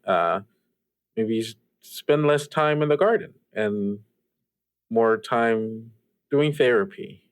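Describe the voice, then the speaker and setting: A man's voice: fairly low pitch, dry recording. Earnest, hesitant eighteen-year-old played by a man, dry sound. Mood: tentative, encouraging. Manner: hesitant, polite, stumbling sympathetically. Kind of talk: improvised in-character roleplay for an actual play podcast.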